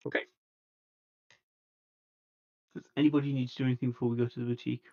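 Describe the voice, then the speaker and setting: A man's voice: medium pitch, little pitch movement, racing. A man playing a tabletop roleplaying game, talking casually through his character's moves on dull audio.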